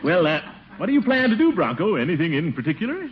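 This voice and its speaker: An actor playing a pompous, fussy middle-aged uncle and boss in American old-time radio comedy, blustery and hemming, with an American accent. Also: male voice, on the high side, wildly swooping pitch, fast talker, muffled recording, background noise. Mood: hearty, nosy. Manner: blustery, hemming, with chuckles and muttered asides. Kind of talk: 1940s-50s American radio comedy acting.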